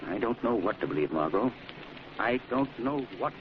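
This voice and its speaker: An actor playing a grave, thoughtful gentleman sleuth in an old radio drama, brooding and deliberate. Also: mid-range voice, animated, natural voice. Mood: grave, ominous. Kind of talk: 1940s radio acting. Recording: muffled old radio recording, faint noise.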